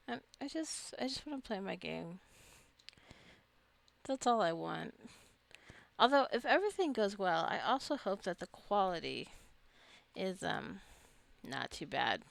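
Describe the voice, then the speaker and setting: A woman's voice: fairly low pitch, vocal fry, dry recording. A woman giving casual gameplay commentary, rambling and thinking aloud as she plays. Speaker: gamer narrating her own gameplay.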